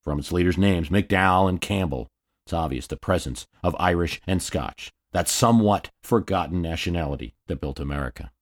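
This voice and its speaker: A man's voice: low-pitched, normal speed. A man hosting a history podcast, telling the story in a scripted narration with wry asides. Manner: storytelling, dramatic readings of quotes.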